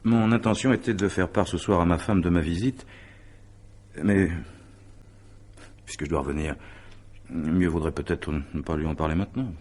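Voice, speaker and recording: A deep husky businessman, sceptical but polite, kinda flustered, old french radio play style. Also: steady tone, darkish tone. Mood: discreet, uneasy. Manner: halting, incredulous, polite. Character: sceptical, impulsive businessman, married, courteous, flustered. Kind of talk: 1950s-style French radio drama acting.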